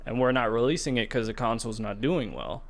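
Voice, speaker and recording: A man's voice: medium pitch, expressive, a little fast. A man hosting a podcast, casually discussing gaming news in a conversational way, often restarting his phrases. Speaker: podcast host.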